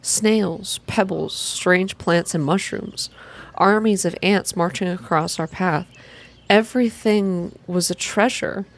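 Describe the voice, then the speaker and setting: A woman reading a story aloud in a soft-spoken, measured, atmospheric ASMR style. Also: on the low side. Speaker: reader of a video game story.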